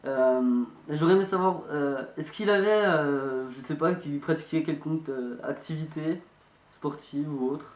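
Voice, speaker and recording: A man playing an amateur investigator, speaking politely and haltingly as he asks questions. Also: a little high, slight background noise, close to an everyday voice. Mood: polite, insistent. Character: young male amateur investigator, polite, insistent, hesitant. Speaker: amateur investigator presenting a video. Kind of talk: hesitant spoken phone inquiry. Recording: echoey room.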